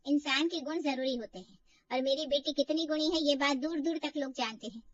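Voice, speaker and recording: Woman playing a coaxing high-voiced mother. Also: a little fast, dry recording, far from the mic, dull audio, lightly acted. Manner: persuasive, reassuring. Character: coaxing, proud middle-aged mother.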